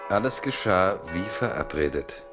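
A man narrating a literary radio play in German with measured, dryly ironic storytelling. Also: low voice, expressive, over loud music. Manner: measured, dry storytelling with irony.

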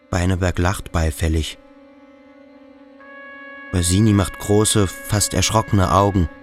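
A man playing a brooding, earnest cadet in a radio drama, hushed and searching. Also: low-pitched. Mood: grave. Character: brooding, earnest male cadet at a boarding school. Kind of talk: literary radio drama acting.

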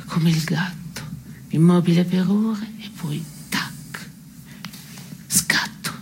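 Dry absurdist stage woman: cynical, laconic deadpan, lowish pitch, faint noise on the broadcast. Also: takes it slowly. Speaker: stage actor. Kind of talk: absurdist two-hander theatre dialogue. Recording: noisy radio broadcast of theatre piece.

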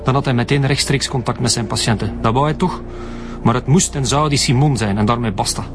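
An actor playing a cynical, bitter comedian son with a Flemish accent and a mocking, defiant manner in a radio drama. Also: male voice, mid-range voice, a little fast, music in the background, close to an everyday voice. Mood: bitter, scornful.

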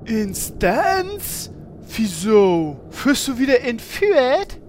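Casting: woman as biting young-sounding heroine, high animated voice, sarcastic, parody audio drama with slight background noise. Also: very slow, full character voice. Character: sharp-tongued, resentful female fantasy game adventurer. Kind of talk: audio comedy parody acting.

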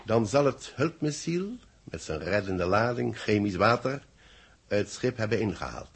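A man's voice: on the low side, sing-song, slightly rough, heavily acted. A Dutch actor playing a grave senior man who declaims space bulletins solemnly in a 1960s radio drama. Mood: solemn, tense. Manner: solemn, theatrical, deliberate declamation.

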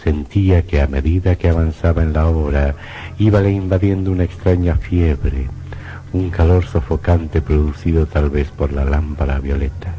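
A man's voice: a bass voice, fairly even intonation, normal speed. A man narrating a scripted horror radio drama in a solemn, dramatic style. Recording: dull lo-fi radio recording. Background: faint music.

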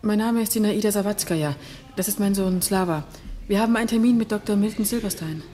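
A woman's voice: mid-range voice, fast, dark tone, natural voice. Urgent, insistent mother, played by an actress. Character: desperate, insistent Russian mother fighting for her sick son. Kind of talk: German radio drama acting.

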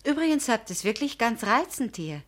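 A woman's voice: a little high, sing-song, normal speed. An actress playing a proud, frank young woman in a radio drama, direct and emphatic, with diction. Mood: drily pointed. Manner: direct, emphatic, pleading or drily pointed. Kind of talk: German radio drama acting.

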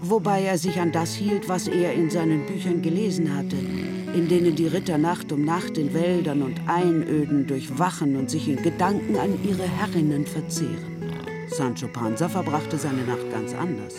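Radio drama narrator, medium pitch, scripted literary storytelling, strong music bed. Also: normal speed.

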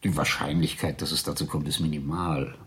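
An actor playing a mild, courteous middle-aged English chemist in a 1970s German radio crime drama, speaking politely and wordily. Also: male voice, extremely low pitch, steady tone, fairly quick, fairly bright tone, breathy edge, dry recording, full character voice. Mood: earnest, precise. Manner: deferential, polite, wordy.

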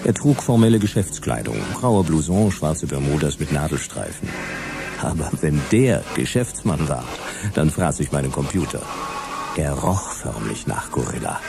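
A man playing a wry, hard-boiled detective, narrating a noir radio play in a dry, sardonic, gravelly voice. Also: very deep voice, big pitch swings, normal speed, over music, exaggerated voice.

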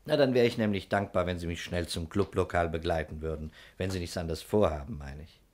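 Male actor as investigator, very low voice, courteous, probing, understated, 1960s radio crime play. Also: brisk, a little mellow, lightly acted. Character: shrewd, courteous male investigator questioning witnesses. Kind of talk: 1960s German radio crime drama acting.

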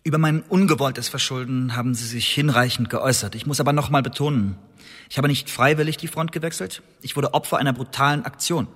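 An actor playing an accused spy scheming for survival in a German historical radio drama, articulate, deliberate and persuasive. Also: male voice, mid-range voice, fairly bright tone, slightly rough. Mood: insistent, defensive.

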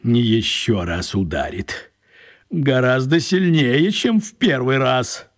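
An actor playing a sly character in a children's radio play, with a gravelly, husky voice. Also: male voice, mid-range voice, animated, slow.

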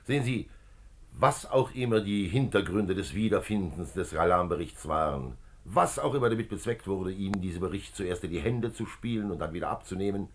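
Commissioner: measured and methodical, deep rough-edged voice with moving melody, 1950s radio crime play. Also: male voice, animated. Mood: calm explaining. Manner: measured, explanatory, authoritative. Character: calm, methodical male police commissioner, middle-aged detective. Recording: 1950s radio drama recording.

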